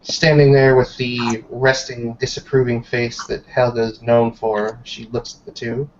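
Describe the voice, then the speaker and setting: A man narrating a tabletop roleplay session as game master, dramatic and deliberate, with storyteller pauses. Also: medium pitch, little pitch movement. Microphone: online group voice call. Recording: muffled online call with background noise.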